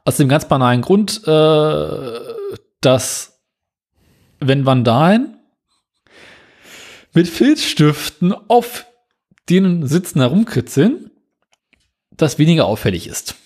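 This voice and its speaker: A man co-hosting a casual podcast, explaining news items in a relaxed, dry way. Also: on the high side, takes it slowly, pauses often. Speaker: podcast co-host.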